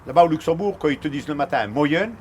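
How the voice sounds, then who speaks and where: Quick-talking Frenchman. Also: a little high, animated, darkish tone, slight background noise. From France. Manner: casual, agreeing, chiming in. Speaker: cross-border worker. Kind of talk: casual chat.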